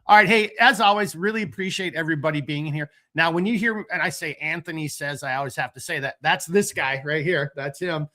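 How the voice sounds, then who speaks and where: A man with a bright, forceful, slightly high voice hosts a loose late-night talk. He rambles, restarts his sentences, and gets animated, breaking off to crack dad jokes. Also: fairly quick, hardly any pauses. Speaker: podcast host. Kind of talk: casual late-night chat.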